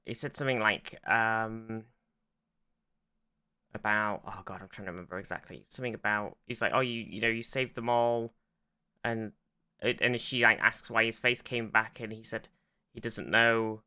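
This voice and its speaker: A man co-hosting a podcast, chatting casually about a TV episode, sweary and halting as he repeats himself. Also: lowish voice, fairly even intonation, pushed and bright, clear, resonant tone. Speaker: podcast co-host. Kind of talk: casual TV episode recap chat.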